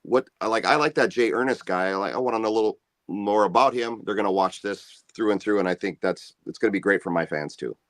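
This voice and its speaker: A man with a deep bass voice talks in a relaxed, meandering way, plugging his music as a guest. The sound is close and dry, with no room around it. He sits low in pitch. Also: fast, few pauses, no room sound. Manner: easygoing, rambling, self-promoting. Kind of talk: casual interview chat.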